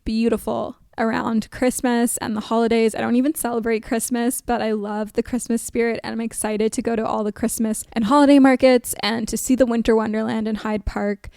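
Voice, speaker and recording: A woman in her twenties hosting an advice podcast, chatting casually with a level, monotone delivery and lots of "like" fillers. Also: fairly high pitch, fairly quick, creaky, few pauses, smooth, dry recording, clean studio sound. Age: 20s. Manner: chatty, says she sounds monotone, frequent "like" fillers. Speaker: podcast host.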